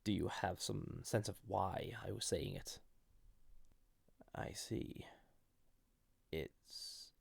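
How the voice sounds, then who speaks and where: A man playing a banished ancient villain in an audio roleplay, flirty and wistful, speaking softly and deliberately in a husky, breathy voice. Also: low-pitched, fairly even intonation, no room sound, full character voice. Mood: unsettled, probing. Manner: hesitant, softly intimate, deliberate. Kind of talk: scripted audio roleplay addressed to listener.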